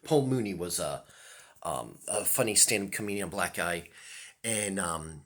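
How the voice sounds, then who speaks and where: A man's voice: deep voice, lively intonation, a little slow, a bit bright. A man, talking to the camera in a loose, rambling monologue, full of ums and restarts. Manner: hesitant, many ums and restarts. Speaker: vlogger.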